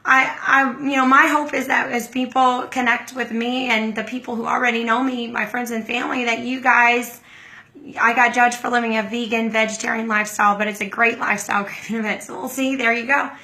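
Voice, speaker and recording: Hoarse woman chatting on a livestream. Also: on the high side, level tone, a little fast, bright, forceful tone. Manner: chatty, greets viewers by name. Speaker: livestream host. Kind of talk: casual livestream talk to viewers.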